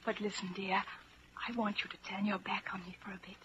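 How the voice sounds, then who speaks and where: An actress playing a bold, affectionate young woman and secret lover in a 1940s radio drama, tender and lively. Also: mid-range voice, a little fast, natural voice. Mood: tender, intimate. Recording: muffled, noisy 1940s radio recording.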